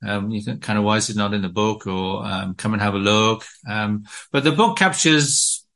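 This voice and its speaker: Middle-aged British man, low-ish voice, forceful and bright, halting with lots of ums. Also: creaky. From Britain. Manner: hesitant, many ums and restarts. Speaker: guest author. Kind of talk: casual interview talk.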